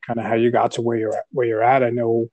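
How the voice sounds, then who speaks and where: Flat-voiced casual podcast host. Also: male voice, medium pitch, quick pace. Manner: casual, loose phrasing with "kinda". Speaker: podcast host, physical therapist. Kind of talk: podcast intro and interviewing. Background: music bed.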